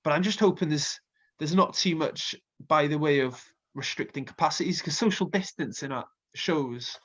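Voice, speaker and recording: A Welsh man with a slight cold talks in a loose, rambling way, full of ums and asides. His voice sits on the higher side for a man. The sound is dull and muffled, like lo-fi call audio. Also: moderate pace, fairly bright tone, breathy edge. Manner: chatty, rambling with many fillers. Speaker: festival co-founder and music booker. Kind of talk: casual interview chat.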